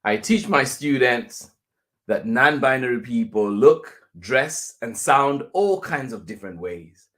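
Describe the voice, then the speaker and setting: A man reading a children's picture book aloud as a teacher would, deliberately, with pauses between phrases. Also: medium pitch, animated, a bit bright.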